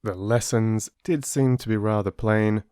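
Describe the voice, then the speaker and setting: A man narrating as an audiobook storyteller, with expressive, deliberate and dramatic phrasing, close to the microphone. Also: fairly low pitch, clear, resonant tone, no room sound. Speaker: storyteller and channel host.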